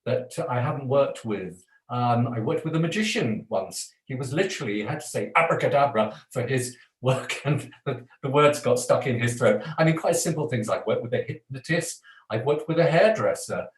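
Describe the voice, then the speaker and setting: A man's voice: medium pitch, roomy sound. Chatty man coaching voices. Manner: chatty, self-deprecating, laughs often. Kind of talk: live online workshop talk.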